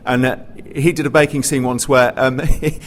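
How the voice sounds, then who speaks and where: A man on a live panel telling anecdotes casually, often halting and interrupting himself. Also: mid-range voice, steady tone. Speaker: panellist, pantomime performer. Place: theatre, live panel discussion. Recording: live recording, clear background noise.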